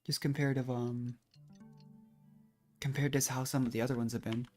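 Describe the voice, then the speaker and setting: Male game streamer, medium pitch, casual chatty live commentary with a rambling, self-deprecating manner. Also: little pitch movement, normal speed, mellow, soft background music.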